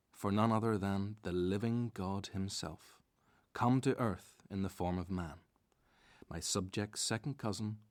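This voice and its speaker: An actor as a reflective storyteller, narrating a scripted radio drama in a measured way. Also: male voice, lowish voice, no room sound.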